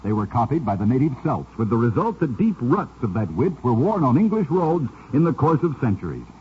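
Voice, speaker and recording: Animated man narrating, vintage radio sound. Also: medium pitch, a little fast, slightly rough. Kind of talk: scripted old-time radio narration of curiosities. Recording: dull, noisy vintage radio recording.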